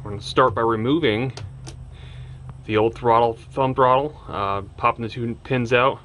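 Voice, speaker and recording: A man giving a casual how-to narration of his DIY work, plain-spoken and relaxed, with plenty of "uh" fillers. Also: mid-range voice, normal speed, slight background noise. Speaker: DIY mechanic vlogger.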